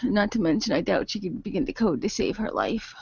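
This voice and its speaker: A woman narrating an improvised radio play as game master, with a halting delivery. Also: mid-range voice, vocal fry, breathy edge, no echo. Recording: dull lo-fi voice recording.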